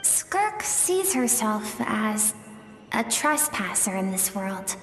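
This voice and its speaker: A woman voicing a playful, mysterious spirit guide in a video game, musing and expressive as she tells her tale. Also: medium pitch, takes it slowly. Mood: musing, wistful. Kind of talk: scripted video game dialogue. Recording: echoey hall-like reverb over music.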